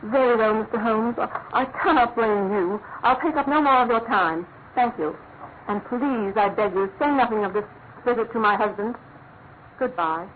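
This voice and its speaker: An actress plays an anxious, dignified lady of high standing in a radio mystery. Her voice is a little high, formal and controlled. The recording is narrow and tinny with faint noise. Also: normal speed, close to an everyday voice. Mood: pleading, restrained. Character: anxious, dignified upper-class politician's wife. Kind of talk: classic radio mystery drama acting.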